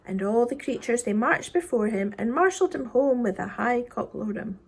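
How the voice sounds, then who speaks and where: A woman reading a children's poem expressively, with a theatrical manner that dips into hushed tones. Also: on the high side, animated, normal speed, husky. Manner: theatrical, dropping into hushed whispers. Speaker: story reader.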